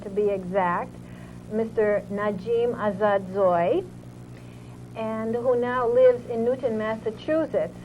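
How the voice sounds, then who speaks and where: A woman hosting an interview show, introducing her guest in a measured way, with many pauses and fillers. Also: medium pitch. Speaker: talk show host. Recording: tinny phone-like audio with faint hiss.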